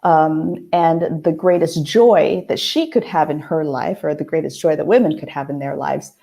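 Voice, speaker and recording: A woman hosting a casual heart-to-heart monologue, a little hoarse, talking thoughtfully with many pauses and restarts. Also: low voice, mellow. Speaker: host. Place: family house, at night.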